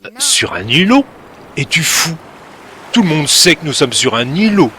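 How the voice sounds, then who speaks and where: A man's voice: a little high, very animated, normal speed, sharp tone, slight background noise. A man voicing a grumpy, scornful male crab in a storybook, grumbling and mocking.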